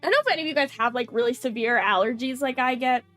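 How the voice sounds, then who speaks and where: A woman's voice: high-pitched, racing, fairly bright tone, soft background music. A young woman streamer chatting casually with her viewers, her voice nasal and stuffy from a runny nose, full of fillers and giggles. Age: young adult.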